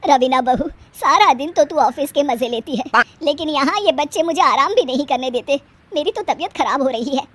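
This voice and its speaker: Elderly cartoon mother-in-law, woman performer: grumbling, weary, high voice, sharp-tongued and scolding. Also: animated, moderate pace. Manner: scolding, sarcastic. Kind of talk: animated family drama storytelling.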